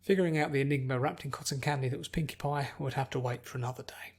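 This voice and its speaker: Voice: mid-range voice, fast, breathy edge. A narrator reading scripted fiction as an audiobook.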